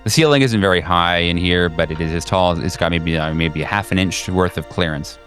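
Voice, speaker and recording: A low-voiced man acts as game master for a horror roleplaying game. He improvises his narration casually, repeating words as he builds a scene, over faint music. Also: fairly bright tone. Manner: informal, halting, repeats words.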